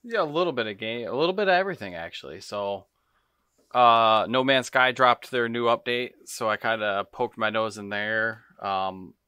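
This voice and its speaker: A man's voice: medium pitch, moderate pace, bright, forceful tone, clean tone, no room sound, clean studio sound. A man co-hosting a podcast in casual, playful, joking chat. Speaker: podcast co-host.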